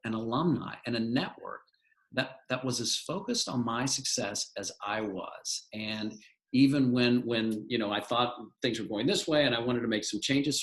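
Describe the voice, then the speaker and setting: A man's voice: medium pitch, low-quality recording. Enthusiastic middle-aged male moderator. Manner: enthusiastic, repeats words while searching. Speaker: panel moderator, alumnus. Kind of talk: moderating a live panel.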